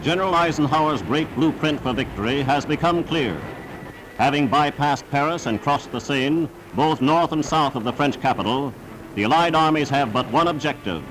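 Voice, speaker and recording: A man's voice: on the high side, normal speed, background noise. A man narrating a scripted wartime newsreel in an emphatic, declamatory announcer's manner, on a vintage 1940s soundtrack.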